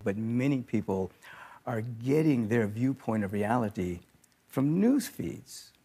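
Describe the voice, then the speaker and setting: A man's voice: mid-range voice, lively intonation, slow, dark tone, slightly rough. A man speaking as a guest on a television talk show set, explaining his view in an earnest, conversational way.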